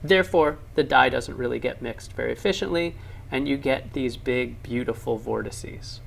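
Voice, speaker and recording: A man's voice: medium pitch, normal speed, gravelly, slight background noise. A man narrating laboratory science demonstrations for an educational film, explaining with enthusiasm and emphasis.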